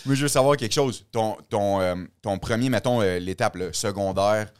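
A man's voice: lowish voice, normal speed, smooth, dry recording, clean studio sound. A man with a Québécois accent hosting a podcast, asking questions in an eager, upbeat casual way. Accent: Québécois French. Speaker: podcast host.

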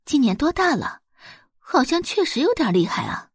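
A woman's voice: high-pitched, big pitch swings, normal speed, dry recording, studio quality, voice pushed up. A woman voicing a curious middle-aged wife and mother who chats in exclamations and questions.

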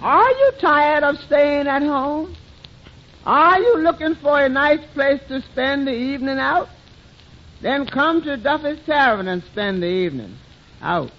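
Doubtful tavern waiter, very high voice, unhurried, wry, hesitant, 1940s radio comedy, dull old recording. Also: male voice, animated, full character voice. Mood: wryly obliging. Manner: hesitant, drawn-out, wryly skeptical delivery. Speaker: comic supporting actor in a radio comedy. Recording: muffled 1940s radio recording, faint noise.

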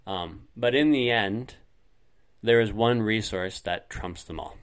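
A man's voice: lowish voice, takes it slowly, smooth. A man co-hosting a podcast in casual chat, explaining points at length with frequent ums. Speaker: podcast co-host.

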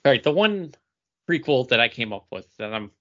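Man, podcast co-host, bright forward voice, wry casual chat, lo-fi audio. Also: medium pitch, sing-song, brisk.

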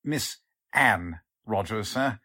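A man's voice: on the low side, expressive, slow pace, bright, forceful tone, heavily acted. A man playing an obedient, polite chief clerk in 1940s radio style, timidly insistent. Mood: hesitant, insistent.

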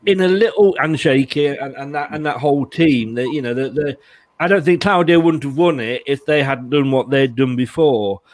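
A man's voice: mid-range voice, moderate pace, no echo. Chatty man hosting, dry sound. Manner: chatty, laughs easily, many restarts. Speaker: host. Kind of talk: casual chat.

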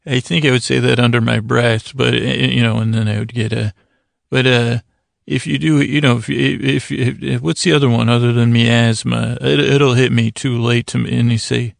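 A man hosting a bedtime podcast in a meandering, halting monologue, gently silly and self-deprecating, with words repeated and thoughts drifting off into tangents. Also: medium pitch, steady tone, no room sound, studio quality. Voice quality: gravelly, creaky. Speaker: podcast host. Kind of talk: rambling bedtime monologue to help listeners sleep.

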